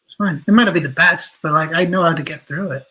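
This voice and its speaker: A man's voice: on the high side, fast talker, pushed and bright, husky, low-quality recording. A man speaking as a podcast guest in a casual, reflective way, earnest and often rephrasing himself. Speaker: podcast guest, writer and director.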